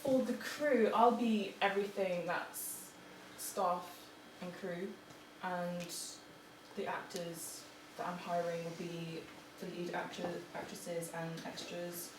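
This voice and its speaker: A young woman giving an informal student presentation in a halting, hesitant way. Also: fairly low pitch, fairly even intonation. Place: room in front of an audience. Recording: echoey, noisy room, distant mic.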